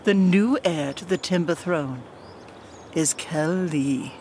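A woman's voice: lowish voice, takes it slowly, darkish tone, slight background noise. A woman playing an imperious monarch and parent in a comedic fantasy audio drama, formal and stern. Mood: stern, dismissive.

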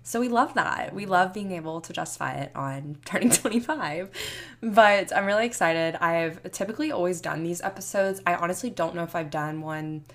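A woman's voice: lowish voice, moderate pace, a bit bright. A woman in her mid twenties hosting a solo podcast in a chatty, rambling, casual way. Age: 20s. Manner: chatty, rambling, youthful filler-heavy talk. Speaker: podcast host.

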